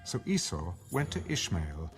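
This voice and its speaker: A man narrating scripture as a measured, dramatic audiobook storyteller. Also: low-pitched, sing-song, faint music behind.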